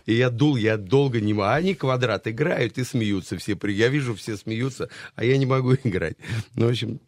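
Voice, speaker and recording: A middle-aged man hosting a studio interview, relaxed and chatty, telling stories from his band days. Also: mid-range voice, brisk. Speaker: radio show host. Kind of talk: casual interview chat.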